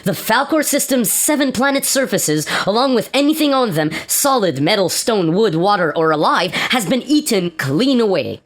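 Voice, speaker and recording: A woman's voice: mid-range voice, very animated, normal speed, few pauses, natural voice. A woman playing a formal male news anchor in a science fiction radio play. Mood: formal, urgent. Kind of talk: scripted news broadcast parody.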